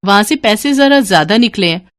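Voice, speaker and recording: A woman's voice: medium pitch, lively intonation, brisk, a bit bright, lightly acted. A woman voicing a kind, dutiful young daughter-in-law in a story, polite and solicitous. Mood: tactful.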